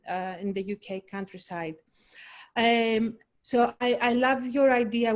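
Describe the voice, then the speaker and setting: A woman from Greece with a Greek accent, a poet talking in a halting, thoughtful way over a video call, often searching for words. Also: medium pitch. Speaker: poet, festival guest. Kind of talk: casual conversation.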